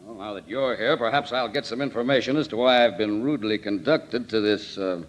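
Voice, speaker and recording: An actor playing a suave, smug crime boss with a pompous, bookish politeness in a 1940s radio drama. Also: male voice, lowish voice, a little fast, natural voice. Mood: mock-polite. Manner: bookish, pompous, genteel wording. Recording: muffled old radio recording.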